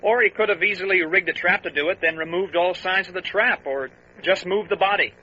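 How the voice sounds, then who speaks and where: Sharp, high-voiced deputy on police radio. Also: male voice, a little fast. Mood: matter-of-fact. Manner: clipped, matter-of-fact reporting. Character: earnest, matter-of-fact deputy reporting to his boss. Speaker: voice actor. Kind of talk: old-time radio revival drama acting. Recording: lo-fi two-way radio sound.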